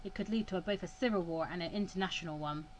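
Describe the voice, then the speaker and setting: A woman voicing a cold, self-assured male mediator in measured, formal visual novel dialogue. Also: on the low side, slight background noise, natural voice. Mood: calculating, certain.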